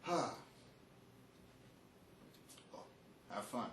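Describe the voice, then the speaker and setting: A man's voice: drawn-out words, fairly bright tone. A man hosting a comedy show with casual, rambling comic talk. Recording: echoey room with clear background noise.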